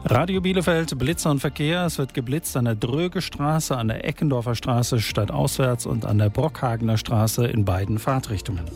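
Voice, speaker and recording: A man's voice: mid-range voice, moderate pace, hardly any pauses, a little mellow, soft background music. A man with a standard German accent presenting on local radio in a conversational style.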